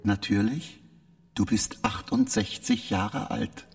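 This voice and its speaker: An actor playing a polite, halting and evasive artificial intelligence aboard a spaceship in a radio drama. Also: male voice, fairly low pitch, huge pitch swings, vocal fry, heavily acted. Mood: polite, gentle. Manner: slow, measured, halting delivery with odd emphasis.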